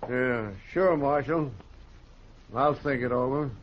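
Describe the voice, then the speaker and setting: A man plays a hot-headed young rancher in a vintage radio western. He is stubborn and defiant, with a medium-pitched voice. The sound is dull and boxy, like an old broadcast. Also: slow pace, over music, close to an everyday voice. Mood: grudging. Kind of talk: 1950s radio acting. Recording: muffled old radio recording.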